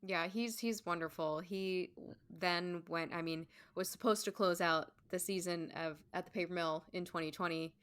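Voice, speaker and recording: A woman's voice: on the low side, fairly even intonation, moderate pace, few pauses, a bit bright, clear, resonant tone. A woman co-hosting a podcast in casual chat, conversational and loose, often saying "like" and "you know". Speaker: podcast co-host, stage performer.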